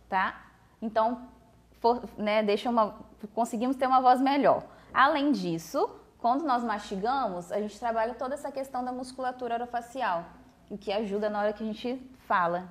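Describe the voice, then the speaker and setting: A woman's voice: fairly high pitch, animated, fairly quick. A woman with a Brazilian Portuguese accent, a speech therapist explaining voice care on a TV talk show in a patient way. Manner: patient, explanatory, uses many fillers like "né" and "tá". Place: TV studio.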